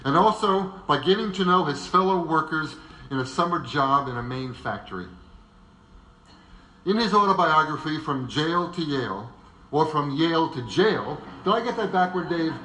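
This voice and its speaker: A man's voice: fairly high pitch, animated, moderate pace, sharp tone. A man presenting a semi-scripted biographical narration in a conversational way, with wry asides. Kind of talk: semi-scripted narration before a live audience. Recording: echoey live room.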